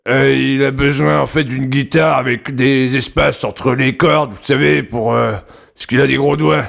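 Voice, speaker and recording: A man's voice: medium pitch, fairly even intonation, a little slow, vocal fry, fairly bright tone. A man playing an earnest, rambling construction worker who wants to start a rock band, in a prank phone call. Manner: rambling, hesitant, pestering. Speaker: prank caller.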